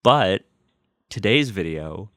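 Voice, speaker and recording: Very deep-voiced man, casual and enthusiastic. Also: expressive, slow, fairly bright tone, slight background noise. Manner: enthusiastic, profane, emphatic repetition. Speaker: vlogger unboxing vinyl and merchandise. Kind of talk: casual, rambling fan chat to viewers.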